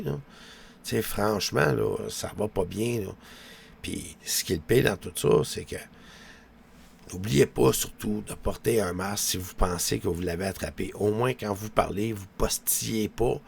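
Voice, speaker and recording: Low, gravelly Quebec French man. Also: slight background noise. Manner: colloquial, with frequent verbal tags. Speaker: vlogger. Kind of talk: rambling casual monologue to viewers.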